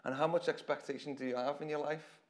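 A man with a hoarse, croaky voice preaching an informal church sermon to a congregation, talking in a chatty way and often repeating his words. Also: on the high side, fairly even intonation, fast talker. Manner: conversational, self-deprecating, repeats phrases.

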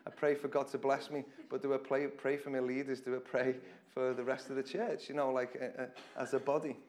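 A man preaches to his church with a hoarse, croaky voice. His style is casual and conversational, full of repeated phrases and small restarts, and his pitch sits in an ordinary middle range. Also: level tone, brisk, a little mellow. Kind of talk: informal church sermon. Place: church hall with congregation.

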